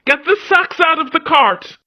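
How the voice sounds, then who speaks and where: A woman's voice: high voice, animated, unhurried, bright, forceful tone, faint music behind, pitched above the performer's own voice, exaggerated voice. A woman voicing a bold male clan leader in a children's story, giving emphatic orders with determination, in slightly muffled sound. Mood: commanding.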